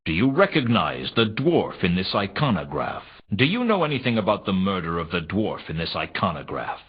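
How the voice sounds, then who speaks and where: An actor playing a wry, world-weary private detective, narrating a noir adventure game in a deadpan, sardonic way. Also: male voice, on the low side, husky, full character voice. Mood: questioning, matter-of-fact. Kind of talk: scripted first-person noir narration. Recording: dull, lo-fi ripped game audio.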